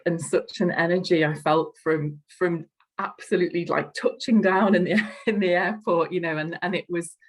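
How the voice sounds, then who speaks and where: A woman's voice: on the low side, fairly even intonation, normal speed, slightly rough. A woman with a Liverpool accent, a podcast guest chatting enthusiastically and effusively, often repeating words as she goes. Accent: Liverpool (Scouse). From Liverpool, England. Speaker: guest, customer care strategy lead. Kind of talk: podcast interview chat.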